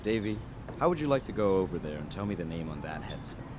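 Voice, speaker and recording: Man acting a deep-voiced, calm doctor. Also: background noise. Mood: calm, measured. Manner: measured, reassuring. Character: calm, probing male doctor, gently authoritative. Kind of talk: audio drama acting.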